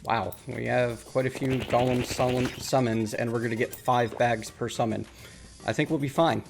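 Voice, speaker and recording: A man's voice: mid-range voice, steady tone, normal speed, creaky, noisy background. A man hosting a casual multiplayer gaming chat, upbeat and explaining items to friends. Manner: upbeat, explains game items, laughs easily. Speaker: host.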